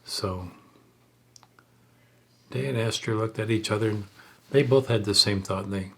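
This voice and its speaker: A man telling a story aloud in a halting, measured way, unpolished and in short phrases. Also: fairly low pitch.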